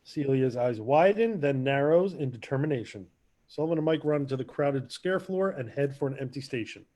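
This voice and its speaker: A man's voice: medium pitch, animated, normal speed, warm tone. A man narrating stage directions in a casual scripted read-through.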